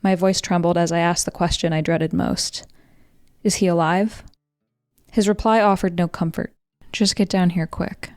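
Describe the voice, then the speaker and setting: An American woman in her twenties reads a scripted first-person story in a measured, reflective way, as a narrator. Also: fairly low pitch, fairly even intonation, a little mellow, no room sound, studio quality. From Colorado, United States. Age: 20s. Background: music.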